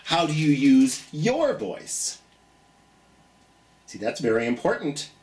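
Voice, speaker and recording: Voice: medium pitch, very animated, slow pace, darkish tone, far from the mic, slight background noise. A children's storytime host with a theatrical, singsong manner, speaking encouragingly and directly to young listeners.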